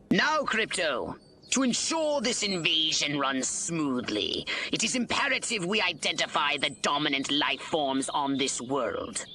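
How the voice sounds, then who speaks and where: An actor playing a haughty, exasperated alien commander in a video game, theatrical and condescending, with formal diction. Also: male voice, mid-range voice, bright, forceful tone, slight background noise, exaggerated voice. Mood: imperious. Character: pompous, exasperated male alien mastermind commander. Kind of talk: video game cutscene acting.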